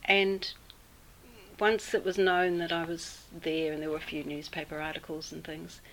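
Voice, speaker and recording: A woman with a New Zealand accent recounts a personal story at an easy pace, pausing often with small fillers. Her voice sits a little low. A faint hiss of background noise runs under the recording. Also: level tone, a bit bright, rough recording. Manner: unhurried, with many fillers and pauses. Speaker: interviewee. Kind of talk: casual storytelling.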